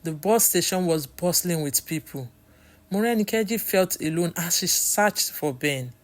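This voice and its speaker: A woman's voice: lowish voice, lively intonation, unhurried. A woman with a Nigerian accent reading a scripted story as its storyteller.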